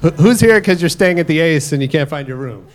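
A man's voice: fairly high pitch, lively intonation, fairly quick, a crowd in the background. A man hosting a live podcast at a hotel event, casual and joking, with lots of ums and uhs. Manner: casual, filler-heavy, joking. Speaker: podcast host.

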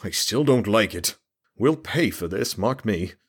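A man's voice: lowish voice, expressive, unhurried, slightly rough, pitched above the performer's own voice. A man voicing an anxious, fretful male town mayor who pleads and frets. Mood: grudging.

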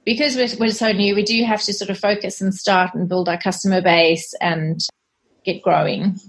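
A middle-aged woman with a South African accent talks through her ideas in an earnest, self-deprecating way. She sometimes pauses to restart a thought. Her pitch sits in the middle for a woman. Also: poor audio. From Zimbabwe and South Africa. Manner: earnest, hesitant, self-deprecating, laughs softly. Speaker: cleaning company cofounder, guest. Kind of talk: casual interview chat.

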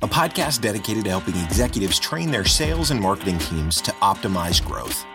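Animated low male announcer over music. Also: fairly quick. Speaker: podcast intro announcer. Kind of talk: scripted promotional narration. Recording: music bed under the voice. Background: intro music.

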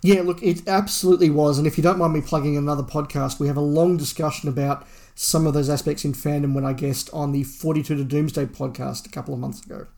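Podcast co-host, male, noticeable vocal fry, measured and conversational, informal fan talk. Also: on the high side, steady tone, darkish tone. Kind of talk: casual fan podcast chat, reading listener email.

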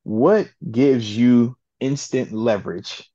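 A man co-hosting a sports talk show, giving his picks in a laid-back, slangy, deliberate way. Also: medium pitch, dark tone, no room sound, lo-fi sound. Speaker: co-host, football analyst. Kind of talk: casual sports chat.

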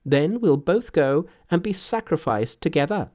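A man's voice: fairly high pitch, lively intonation, measured pace, darkish tone, voice pushed up. A man voicing a cheeky, fearless male monkey hero from a storybook, brash, bantering and self-assured. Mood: bold. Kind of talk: storybook character voice.

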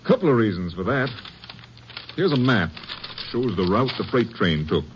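An actor playing a grave, businesslike Texas Ranger captain with a clipped, matter-of-fact manner in a 1950s western radio drama. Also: male voice, fairly low pitch, very animated, moderate pace. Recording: dull, faintly noisy old radio recording.

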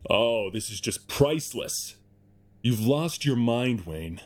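A man acts out a privileged, arrogant company boss in an audio drama. His voice sits at a middle pitch, with theatrical indignation and open scorn in a fairly measured delivery. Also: expressive. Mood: scornful. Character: arrogant, scornful rich male heir and chief executive.

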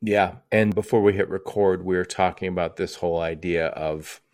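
Low-voiced older American man hosting. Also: fairly even intonation, normal speed, darkish tone. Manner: chatty, digressive. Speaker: podcast host. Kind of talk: casual conversational interview.

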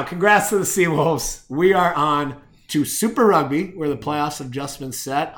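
A man's voice: mid-range voice, a bit bright. A man hosting a rugby picks show, giving commentary and predictions in an opinionated, slangy way.

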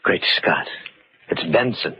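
A man's voice: slow pace, a little hiss or hum, natural voice. An actor playing a stiff, suspicious businessman with formal, clipped phrasing in a 1940s radio mystery. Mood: hushed, shocked. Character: stiff, suspicious businessman pressing his partner over a murder. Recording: dull lo-fi 1940s radio recording.

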